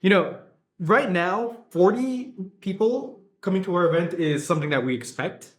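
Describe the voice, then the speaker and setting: Male interviewee, earnest and reflective, voice sits a bit high. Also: moderate pace. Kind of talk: documentary interview.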